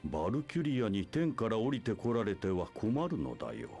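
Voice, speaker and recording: An imperious, scheming leader of a church, deep and sweeping in pitch. He speaks in grandiose, archaic formal phrases, as in a dramatic video game scene, with music underneath. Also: wildly swooping pitch, very fast, a little mellow, breathy edge, full character voice. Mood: haughty. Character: imperious, scheming male church leader preaching his doctrine. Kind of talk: Japanese video game voice acting.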